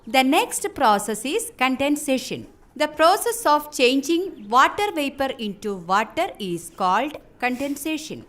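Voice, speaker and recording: A schoolteacher giving a deliberate classroom lecture. Her voice is high and animated in pitch, and she goes very slowly, enunciating for the class. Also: heavily acted. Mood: instructive. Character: schoolteacher drilling a class in science. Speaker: teacher.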